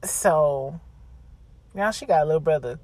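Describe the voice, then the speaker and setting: A woman's voice: deep voice, measured pace, darkish tone, dry recording. A woman podcast host chatting casually and confessionally, blunt, slangy and freely swearing.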